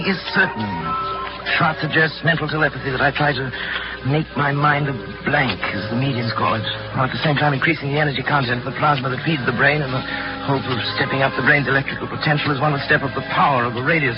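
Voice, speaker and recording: An actor playing an obsessed, driven scientist with intense, precise diction, in the style of 1940s radio drama. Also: male voice, medium pitch, fairly even intonation, quick pace, close to an everyday voice. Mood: brooding, clinical. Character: obsessed, driven male scientist keeping a lab journal. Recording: muffled 1940s radio recording, loud music.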